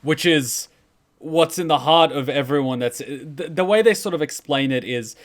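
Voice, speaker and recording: A man's voice: on the high side, moderate pace. A man explaining game lore in a casual, unscripted commentary.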